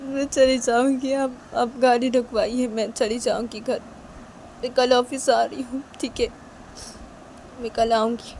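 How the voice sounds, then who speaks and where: A woman's voice: fairly high pitch, level tone, measured pace, darkish tone, a little hiss or hum, low-quality recording. A woman voicing a young female employee who begs earnestly and tearfully, repeating please. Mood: insistent.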